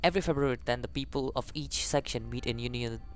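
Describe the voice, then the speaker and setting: Young man reading game text haltingly. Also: mid-range voice. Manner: halting reading, stumbles over words. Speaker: gaming YouTuber. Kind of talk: casual let's play, reading game text aloud with commentary.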